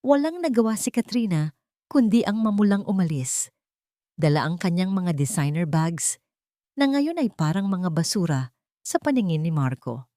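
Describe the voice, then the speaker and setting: A woman's voice: fairly low pitch, very animated, normal speed, dark tone, no echo, clean studio sound. A woman narrating a scripted romance story as a dramatic storyteller.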